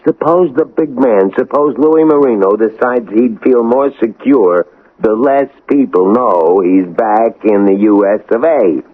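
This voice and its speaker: An actor playing a nervous, suspicious small-time crook in a radio drama, grumbling, stammering and slangy. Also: male voice, medium pitch, measured pace, close to an everyday voice. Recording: tinny, phone-like old radio broadcast.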